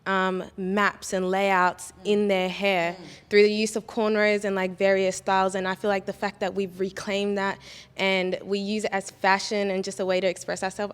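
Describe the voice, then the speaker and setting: A woman's voice: mid-range voice, smooth. A young woman giving her opinions in a panel discussion, earnest and heavy on "um". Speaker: panel guest. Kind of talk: casual discussion. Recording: long reverb.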